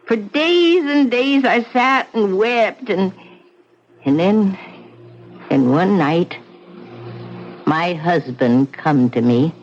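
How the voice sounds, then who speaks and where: A bitter old widow who speaks slowly and darkly, her voice rising and falling widely with every grim warning. The sound is dull and muffled, like an old radio play recording. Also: medium pitch, lively intonation, darkish tone, slight background noise. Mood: mournful. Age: older. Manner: dire, brooding, theatrical. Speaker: widow in wheelchair. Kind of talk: vintage radio drama acting.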